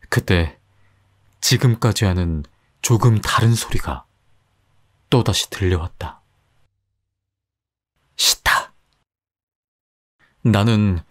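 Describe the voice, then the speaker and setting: A man reading a horror tale in a deliberate, unhurried way, his lowish voice rising and falling with lively intonation. Faint music plays softly behind him. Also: breathy edge. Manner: slow, suspenseful. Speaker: storyteller. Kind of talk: scripted horror narration.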